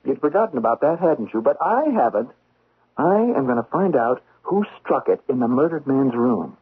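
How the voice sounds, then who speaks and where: Impulsive detective, husky, swooping intonation, insistent, theatrical, radio drama. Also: male voice, mid-range voice, expressive, normal speed. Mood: smug, determined. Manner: agitated, insistent, declamatory. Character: excitable, stubborn young male investigator, impulsive and theatrical. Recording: dull 1970s radio drama recording.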